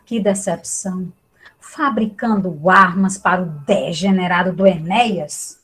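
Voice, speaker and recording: A woman playing an imperious, bitter queen of the gods, disdainful and drawn-out, in a mythological comedy audio drama. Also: on the low side, a bit bright, husky. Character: scornful, imperious queen goddess, bitter wife and mother.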